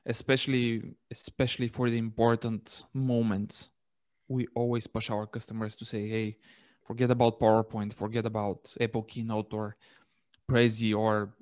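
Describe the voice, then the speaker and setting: A young man hosting a podcast, speaking close to a microphone in a casual, even way with fillers like "like" and "right". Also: medium pitch. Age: young adult. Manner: even, flat delivery with filler words like "like" and "right". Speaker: podcast host. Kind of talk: conversational podcast interview.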